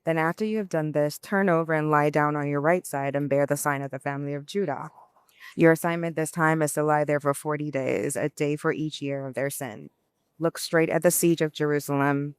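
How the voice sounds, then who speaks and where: Female scripture reader, clear resonant voice, dry close sound, measured expressive delivery. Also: deep voice, no echo. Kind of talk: expressive scripture reading aloud.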